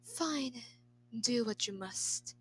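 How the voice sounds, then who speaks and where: A woman's voice: fairly high pitch, lively intonation, very slow, fairly bright tone, slight background noise, heavily acted. A woman voicing a reluctant amateur who hams up a weary emperor in a story. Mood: melodramatic, weary. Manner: melodramatic.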